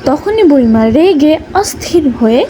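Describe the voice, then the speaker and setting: Woman storyteller, high pitch, mellow tone, scripted children's narration, faint background noise. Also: expressive, unhurried.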